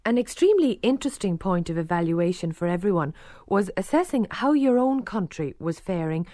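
A woman narrating a radio documentary report in a measured, articulate way. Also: fairly low pitch, expressive, mellow, dry recording, clean studio sound. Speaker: broadcaster and reporter.

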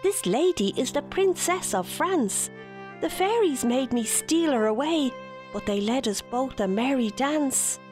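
Earnest young storybook hero, remorseful and urgent, raised bright pitch, voiced by a woman narrator. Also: expressive, measured pace, faint music behind.

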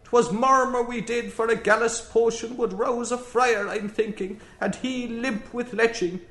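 A man's voice: mid-range voice, sing-song, normal speed, natural voice. An actor playing an exuberant, mocking young man who declaims in mock-grand style. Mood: mock wailing. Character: mocking, boisterous young man, theatrical jester. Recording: roomy echo.

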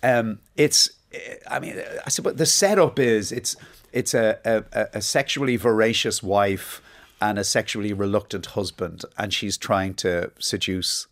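A man's voice: on the low side, fairly even intonation, measured pace, a bit bright. A man in his fifties, a chatty guest telling stories in an interview and laughing easily. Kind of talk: relaxed interview chat.

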